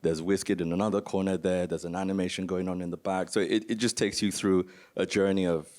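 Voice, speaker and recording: Very deep-voiced man speaking on a panel. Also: little pitch movement, fast talker, darkish tone. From Nigeria. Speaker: panelist, museum founder. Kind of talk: informal spoken presentation on a conference panel.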